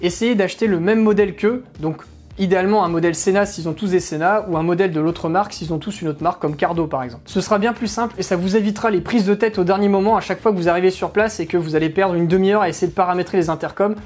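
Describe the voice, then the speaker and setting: A woman's voice: on the low side, steady tone, rapid-fire, darkish tone, soft background music. A woman narrating a product review in an informal, chatty, explanatory way. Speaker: motorcycle gear reviewer.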